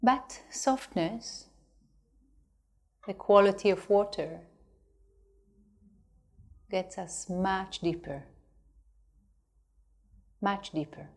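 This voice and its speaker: Very slow-speaking woman guiding meditation. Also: medium pitch, gravelly. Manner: gentle, unhurried delivery with long pauses. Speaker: meditation teacher. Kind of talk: guided meditation.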